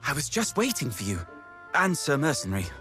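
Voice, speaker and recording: A man's voice: on the high side, sing-song, brisk, sharp tone. A man playing an earnest, courteous young knight in a fantasy game, polite and deferential. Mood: earnest, apologetic. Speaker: video game character. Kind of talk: English game cutscene voice acting. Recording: music behind the voice.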